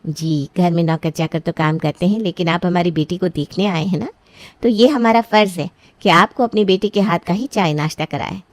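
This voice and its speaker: A woman's voice: fairly low pitch, normal speed, no echo, close to an everyday voice. A woman playing a polite, artful middle-aged mother of a bride in a story. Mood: courteous.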